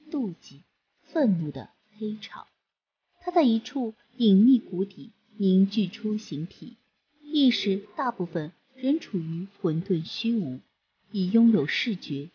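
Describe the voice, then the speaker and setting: A woman narrating a fantasy audiobook with a slow, expressive reading. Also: mid-range voice, dull audio, slight background noise. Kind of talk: storybook narration.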